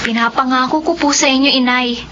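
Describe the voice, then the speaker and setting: Earnest, principled young daughter played by an actress, high voice, radio drama, dull noisy recording. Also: female voice, steady tone, fairly quick, natural voice. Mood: tender, reassuring. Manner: earnest, emotive. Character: dutiful, principled young daughter and aspiring beauty queen. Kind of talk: Filipino radio drama acting.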